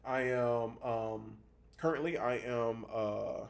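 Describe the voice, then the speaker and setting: A man in his thirties giving a casual fitness vlog monologue, explaining things haltingly and repeating points for emphasis. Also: medium pitch, gravelly. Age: 30s. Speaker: fitness vlogger.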